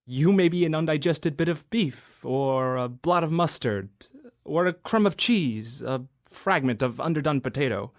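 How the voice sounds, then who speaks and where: A man's voice: a little high, lively intonation, moderate pace. A cranky old miser in a radio play, voiced by an actor. He scoffs and doubts in a querulous tone, and turns wheedling and pleading when he gets frightened. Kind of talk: radio drama acting.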